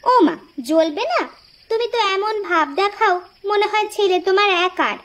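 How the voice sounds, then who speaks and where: A woman's voice: very high-pitched, moderate pace, fairly bright tone. A woman voicing a caring, teasing village housewife and mother who fusses and argues in a cartoon. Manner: fussing, scolding affectionately. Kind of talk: Bengali cartoon dialogue acting.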